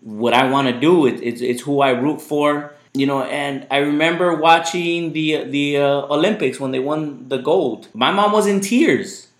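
A man's voice: a little high, a little slow, few pauses. A man hosting a casual podcast chat, rambling loosely and repeating his words, whose first language was Spanish. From United States. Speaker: podcast host. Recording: echoey room.

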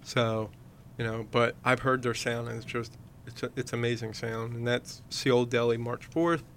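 A man's voice: mid-range voice, fairly even intonation, a little slow, slight background noise. A man hosting a radio show who rambles in casual chat, full of fillers like "you know". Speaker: radio host. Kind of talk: casual radio chat promoting upcoming shows.

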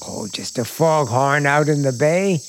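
Casting: coaxing husband with secrets for vintage radio suspense, very slow folksy drawl, widely varied intonation, quietly ominous and insistent. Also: male voice, fairly high pitch, lively intonation, background noise. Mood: flatly proud. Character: sinister, coaxing New England bridegroom with secrets. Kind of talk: old-time suspense radio drama acting.

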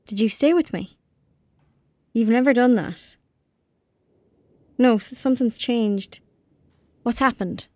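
An actress playing a frightened, guilt-ridden young girl in an audio drama, with an Irish accent and a halting, intense delivery that dips toward whispers. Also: a little high, dark tone, no room sound. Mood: groggy, confused.

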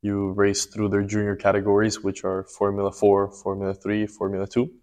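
A man in his twenties, born in Ecuador and raised in Miami, answering interview questions calmly and matter-of-factly. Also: fairly low pitch, a little fast. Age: 20s. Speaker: guest, race car driver.

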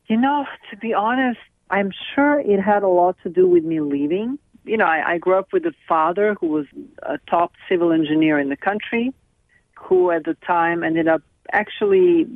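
A middle-aged woman from Serbia, speaking as a guest over the phone in an earnest, halting way with many restarts. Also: on the low side, animated, no room sound. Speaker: film director and guest. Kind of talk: phone interview. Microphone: telephone. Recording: tinny dry phone line.

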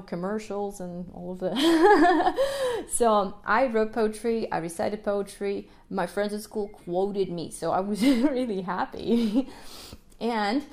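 A young woman from Ukraine, a native Russian speaker, hosts her own podcast in a lively, animated way. Her voice sits at a middle pitch, and she keeps checking in with her listeners and laughing as she talks. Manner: animated, frequent "right?" tags and laughs. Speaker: podcast host, music educator. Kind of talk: solo podcast talk.

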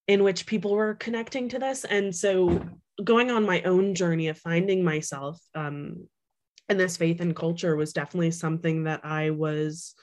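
A woman's voice: fairly low pitch, steady tone, moderate pace, darkish tone. A young adult woman, an author, talking casually in an interview, with lots of fillers, restarts and laughs. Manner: chatty, many fillers and restarts, laughs often. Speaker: author guest.

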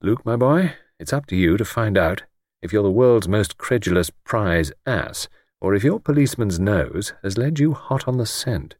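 A courteous, self-questioning young gentleman, played by a male audiobook reader. His speech is polite and wry, with lively rises and falls, in a close, dry sound. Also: fairly low pitch, normal speed, no echo. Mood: wry, musing. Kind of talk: audiobook character dialogue.

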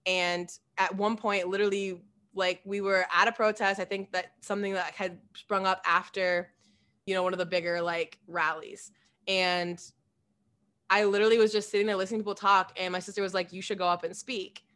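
A woman's voice: medium pitch, little pitch movement, a little fast, fairly bright tone. A woman in her twenties from Des Moines, a council candidate telling her story in a chatty way full of "like" and laughter. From Des Moines, Iowa. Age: 20s. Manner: chatty, laughs often, many "like" fillers. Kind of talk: casual interview answers.

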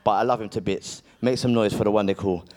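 A man's voice: on the low side, fairly even intonation, fast talker, very noisy. A man introducing guests on stage, playful and joking, tripping over names. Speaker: event host. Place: stage before a live audience.

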